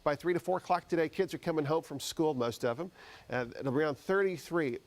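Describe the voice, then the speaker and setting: A man's voice: a little high, brisk. An American man presenting a live TV weather forecast in a conversational, folksy way.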